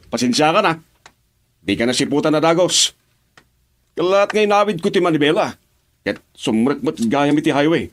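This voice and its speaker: Actor as bumbling excitable driver henchman, radio drama, highish voice, very swingy. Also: normal speed, long pauses, natural voice. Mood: flustered. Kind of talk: Filipino radio drama acting in Ilocano.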